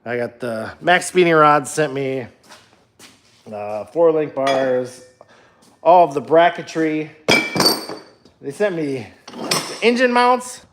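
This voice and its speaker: A man's voice: fairly high pitch, lively intonation, slow, pauses often, noisy background. Joking Minnesotan man in a garage. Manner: rambling, self-deprecating, joking. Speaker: livestreaming truck builder. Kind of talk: casual live stream chat while working. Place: home garage workshop. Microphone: phone held at arm's length, later set down away.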